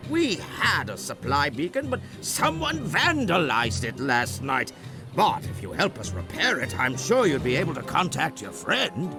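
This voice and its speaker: Folksy, high, creaky mayor. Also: male voice, huge pitch swings, a little slow, music in the background, heavily acted. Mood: welcoming. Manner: folksy, chatty. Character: friendly, folksy small-island mayor, male. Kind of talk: video game cutscene acting.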